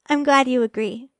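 A woman playing a sweet, shy young girlfriend in a romantic ASMR roleplay, speaking softly and hesitantly with affection. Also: sits high, no echo, clean studio sound. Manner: soft, hesitant, affectionate, stammering when flustered.

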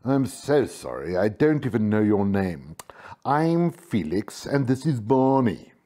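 A woman voicing a polite, timid male cat with a husky, hesitant delivery in a children's story. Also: mid-range voice, huge pitch swings, slow, mellow, voice pushed down, heavily acted. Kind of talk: children's animal story character voice.

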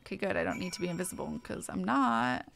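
A woman's voice: medium pitch, big pitch swings, fast, vocal fry, fairly bright tone, slight background noise. A woman streamer chatting casually and muttering to herself as she plays a game. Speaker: streamer playing an online fantasy game. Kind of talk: casual live gaming commentary.

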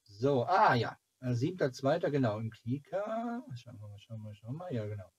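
A man in his forties hosts a casual vlog from home. His voice sits at an average pitch with a husky, unpolished edge. He talks loosely to his viewers, rambling and thinking aloud as he goes. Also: sing-song, normal speed, highs cut off. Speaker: host of a children's TV fan club channel. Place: small cluttered room at home, indoors. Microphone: camera or webcam at arm's length.

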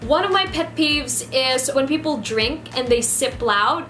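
A 27-year-old woman from the Philippines with a Filipino accent and Ilonggo as her first language, chatting casually to camera in an animated way as she lists facts. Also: sits high, measured pace, a little hiss or hum. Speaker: vlogger. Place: small decorated room indoors. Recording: roomy echo, music behind.

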